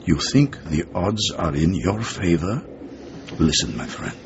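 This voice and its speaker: An actor playing a worldly, shrewd intelligence ally in a radio drama, speaking in an expansive, deliberate, emphatic way. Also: male voice, low-pitched, expressive. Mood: grave, emphatic. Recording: muffled radio drama with background noise.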